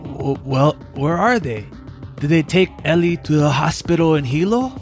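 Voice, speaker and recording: A man's voice: a little high, animated, moderate pace, faint music behind, voice pushed up. A man voicing a distraught, grieving teenage boy, pressing with pleading questions. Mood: anxious, upset.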